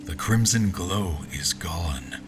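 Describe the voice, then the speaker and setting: An actor playing a troubled, desperate general in an audio drama, speaking in a halting, grave, intense manner. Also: male voice, low-pitched, lively intonation, background noise. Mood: tense, hushed narration. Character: troubled, desperate newly-appointed general haunted by dreams. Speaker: actor narrating in first person and playing the lead. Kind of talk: dramatic audio fiction acting. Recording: loud music under the voice.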